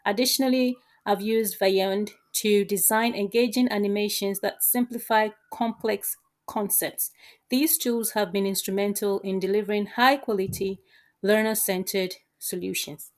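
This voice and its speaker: A woman answering as a job candidate, with formal, measured delivery of rehearsed interview answers. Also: mid-range voice, steady tone.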